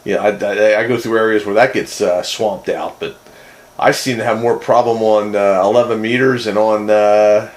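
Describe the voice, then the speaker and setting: A man's voice: lowish voice, steady tone, normal speed. A man chatting casually as host of a hobby radio roundup, rambling and often repeating his words.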